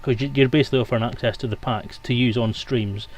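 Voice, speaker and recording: A man with an average-pitched voice chats casually as he hosts a stream. He talks quickly, in rapid conversational bursts. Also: steady tone. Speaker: stream host. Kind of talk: casual chat.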